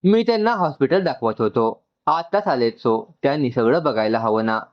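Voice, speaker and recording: A man voicing an excitable, doting young new father in a story, gushing and mixing in English. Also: mid-range voice, a little fast, lo-fi sound, lightly acted. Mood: defensive.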